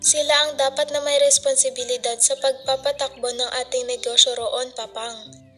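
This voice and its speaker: A woman's voice: sits high, level tone, moderate pace, fairly bright tone, faint music behind. A woman playing a spirited, cheeky young daughter who loves motorbikes, in an amateur radio drama with a Filipino accent. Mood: questioning, dutiful. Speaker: student radio drama actor.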